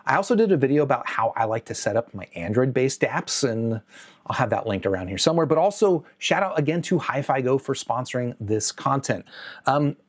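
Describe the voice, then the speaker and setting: A man's voice: mid-range voice, expressive, a little fast. Conversational man hosting a review video. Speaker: host of an audio gear review channel. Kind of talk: casual scripted video review to camera.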